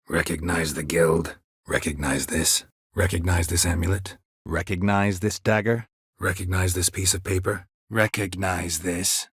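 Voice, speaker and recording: A gruff, stoic monster hunter from a video game. His low voice is extremely husky and rasping, recorded very dry and close. He speaks in clipped, deadpan phrases with a weary, dry edge. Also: dry recording, heavily acted. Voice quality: very husky, gravelly rasp. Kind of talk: video game character dialogue.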